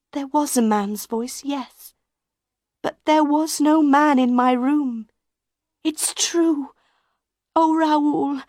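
A woman's voice: sits high, a little mellow, no echo, studio quality, voice pushed up. A woman voicing a frightened young female opera singer who pleads in breathless whispers.

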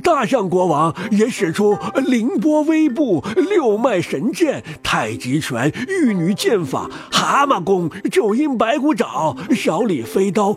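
A man narrating a children's story in an expressive manner. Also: sits high, slow, hardly any pauses. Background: music.

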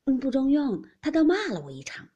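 A woman's voice: high voice, wildly swooping pitch, fairly quick, mellow, highs cut off, voice pushed up. A woman voicing a sulky servant's wife who complains resentfully.

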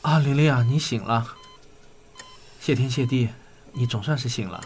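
An actor playing a kindly middle-aged father in a Chinese radio drama, explaining in drawn-out phrases. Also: mid-range voice, husky, soft background music, lightly acted. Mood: weak, relieved.

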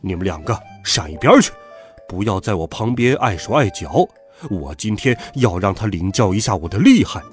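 A man's voice: mid-range voice, wildly swooping pitch, normal speed, hardly any pauses, a little mellow, faint music behind. A man voicing a brash, hot-tempered schoolboy gang boss who barks threats in a blustering way. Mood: menacing, impatient.

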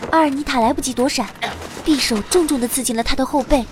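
A woman playing a sensitive young heroine who narrates a fantasy audio drama, earnest and expressive. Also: high voice, fast, a little hiss or hum, natural voice. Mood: tense narration. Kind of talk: dramatic scripted first-person narration.

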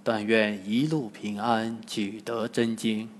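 Man acting a solemn monk master, slow delivery. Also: medium pitch, lively intonation, a little hiss or hum. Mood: quiet prayer. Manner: solemn, admonishing. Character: stern, pious, compassionate Buddhist monk master. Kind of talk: dramatised storytelling.

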